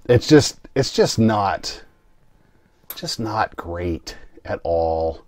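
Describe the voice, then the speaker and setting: A man's voice: fairly low pitch, very animated, very slow, no echo. A man co-hosting a casual film review podcast, talking in a wry, easygoing way. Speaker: podcast co-host.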